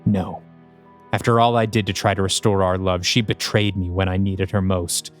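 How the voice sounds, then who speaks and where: A man's voice: sits low, flat delivery, moderate pace, soft background music, lightly acted. A man playing an ancient undead mummy villain in an audio drama, speaking in a menacing, grandiose way. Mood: coldly grandiose.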